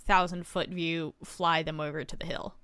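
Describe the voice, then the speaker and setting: A woman's voice: low voice, level tone, moderate pace, sharp tone, clear, resonant tone, dry recording. A woman narrating an improvised tabletop roleplaying game as the game master.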